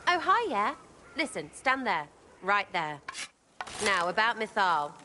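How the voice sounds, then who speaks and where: A woman's voice: mid-range voice, very animated, takes it slowly, bright, forceful tone, exaggerated voice. An actress playing a brash, irreverent young woman rogue in a video game, blunt, informal and jumpy in the way she talks. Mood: brash, impatient. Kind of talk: video game cutscene acting. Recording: long hall reverb, faint noise.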